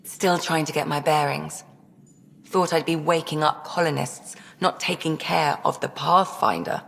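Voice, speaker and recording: A woman's voice: sits low, steady tone, measured pace, gravelly, a bit bright, heavily acted. A keen alien medic in a sci-fi game. She sounds a little nervous and hesitant, yet full of scientific enthusiasm. Her voice carries a long reverb. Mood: gently teasing. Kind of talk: video game dialogue acting.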